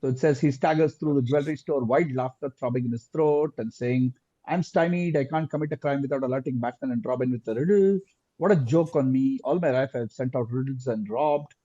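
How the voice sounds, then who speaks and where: Male quiz host, mellow husky voice, deliberate question reading, close dry sound with dull lo-fi call audio. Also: medium pitch, dry recording. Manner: deliberate, repeats answers and phrases. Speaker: quizmaster.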